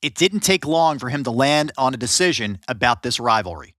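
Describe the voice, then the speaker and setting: Lively bright-voiced male narrator. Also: mid-range voice, a little fast, dry recording, clean studio sound. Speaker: podcast co-host and narrator. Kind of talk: scripted documentary narration.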